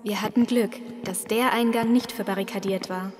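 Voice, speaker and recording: An actress playing a nervous, questioning survivor in a zombie audio drama, talking colloquially. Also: female voice, medium pitch, fairly even intonation, normal speed, soft background music, natural voice. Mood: relieved, unsure. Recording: long cavernous reverb, some noise.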